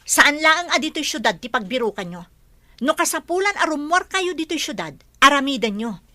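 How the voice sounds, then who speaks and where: A woman's voice: high voice, very animated, fairly quick, pushed and bright, no echo, full character voice. A woman with a Filipino Ilocano accent playing an imperious, scheming woman in an audio drama. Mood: angry, commanding. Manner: imperious, impatient, demanding. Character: scheming, bossy woman plotting against a relative.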